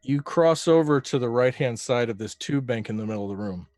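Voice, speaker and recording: A man narrates a tabletop role-playing game as game master, lingering over each detail of the scene. His voice sits at an ordinary middle pitch. The sound is close and dry, with no room around it. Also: expressive, a little fast, a little mellow, no room sound. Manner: descriptive, savoring details.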